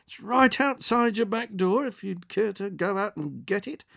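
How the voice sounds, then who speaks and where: Storyteller doing a cheery, coaxing older call-centre man; raised pitch, dry close mic. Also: expressive, normal speed, gravelly, fairly bright tone, no room sound. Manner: professional good cheer, coaxing. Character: old male drone delivery worker, falsely cheerful.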